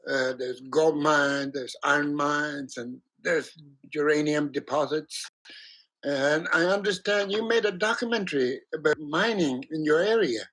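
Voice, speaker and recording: A filmmaker interviews a guest, and his questions come slowly, broken up by fillers. His voice sits slightly high. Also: a bit bright, rough recording.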